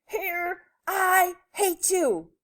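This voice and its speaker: A woman's voice: on the high side, wildly swooping pitch, moderate pace, pitched above the performer's own voice. A woman voicing an earnest, emphatic young boy in a children's storybook, matter-of-fact and sometimes cross. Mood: angry. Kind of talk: children's storybook read-aloud.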